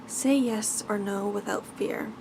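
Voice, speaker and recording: A woman voicing a gentle, courteous male beast in a bedtime storybook, speaking slowly and earnestly. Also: medium pitch, gravelly, noisy background.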